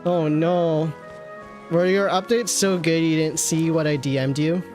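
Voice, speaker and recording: A woman's voice: sits low, steady tone, unhurried, darkish tone. A woman streaming a game, chatting casually with offhand, playful asides. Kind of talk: casual livestream chat. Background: faint game music.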